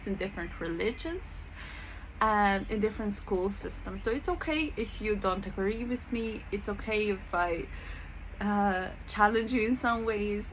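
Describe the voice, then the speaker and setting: A woman's voice: medium pitch, a bit bright, a little hiss or hum, low-quality recording. A woman from Serbia with a Serbian accent, talking casually to camera as a spiritual coach, chatty with many fillers and frequent laughs.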